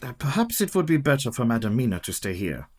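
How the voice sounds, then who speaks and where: Casting: older vampire-hunting professor, radio drama; solemn, formal, deliberate, mid-pitched authority. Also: male voice, very animated, breathy edge. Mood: urgent. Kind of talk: radio play acting.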